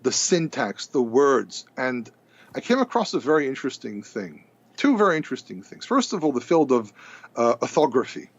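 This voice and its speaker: Man, Hebrew speaker, animated storyteller, repeats words, muffled dry recording. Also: medium pitch, moderate pace, husky, dry recording. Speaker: podcast guest. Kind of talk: casual storytelling conversation.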